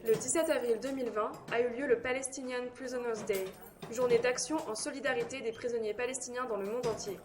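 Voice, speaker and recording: Higher-voiced woman hosting a podcast. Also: fairly even intonation, fairly quick, background noise. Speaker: podcast host. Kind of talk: scripted podcast narration.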